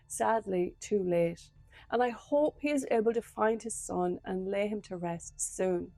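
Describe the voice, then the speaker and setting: A middle-aged woman, a former detective, hoarse from a cold, walking viewers through a true crime case in a measured, earnest way. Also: mid-range voice, a little mellow, no room sound.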